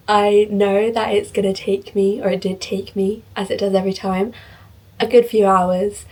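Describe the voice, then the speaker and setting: Slightly croaky, chatty woman from the UK. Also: medium pitch, a little mellow, far from the mic, poor audio. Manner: chatty, self-deprecating, thinks aloud while working. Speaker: vlogger. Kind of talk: casual vlog talk to camera. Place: home, in front of a mirror.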